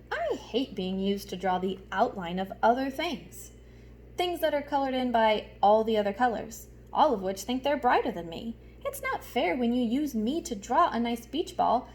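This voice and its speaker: Resentful storybook crayon, done by a woman, higher voice, complaining with big swoops. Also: very animated, moderate pace, darkish tone, a little hiss or hum. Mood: aggrieved.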